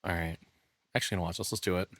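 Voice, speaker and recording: A man's voice: low voice, steady tone, very fast, fairly bright tone, no room sound. A tired man casually commentating on a live stream as he reacts to a video, crude, profane and self-deprecating.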